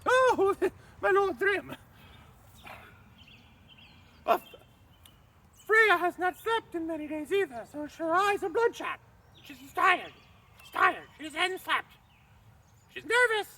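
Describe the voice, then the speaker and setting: High squeaky trickster god, flustered and fawning, swoops all over, a man putting him on in a storytelling, a bit far off. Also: big pitch swings, slow, long pauses, sharp tone, full character voice. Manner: nervous flustered high-pitched excuses, throat-clearing.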